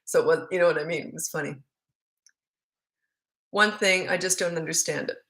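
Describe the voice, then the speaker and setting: A woman's voice: low voice, fairly quick, fairly bright tone. A middle-aged woman with a Canadian accent, a parenting coach answering viewer questions live in a frank, chatty, rambling way.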